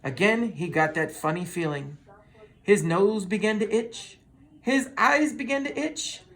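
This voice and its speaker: A person reading a children's story aloud in an expressive, dramatic manner. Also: medium pitch, a little slow. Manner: expressive, with dramatic sound words. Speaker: storybook reader.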